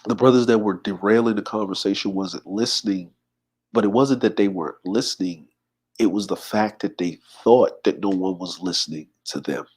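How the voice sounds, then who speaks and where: A man of forty talks easily to his livestream audience in a fairly low voice. He reads out comments, greets viewers by name and drifts into his own thoughts, unhurried and relaxed. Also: slightly rough. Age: 40s. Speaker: livestream host. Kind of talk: casual livestream chat.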